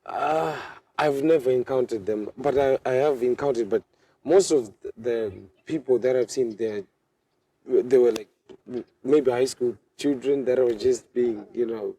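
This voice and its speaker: Brief: man in his 20s from Zimbabwe, Shona native, interview guest, dark mellow voice, halting and self-repeating. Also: medium pitch, husky, rough recording. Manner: hesitant, repeats words while searching. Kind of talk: casual interview answers.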